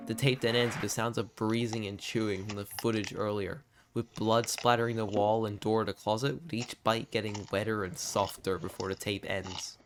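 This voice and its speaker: A man narrating a scripted video essay in an expressive, informal and confiding way, with casual asides. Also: fairly low pitch, normal speed, few pauses, a little hiss or hum.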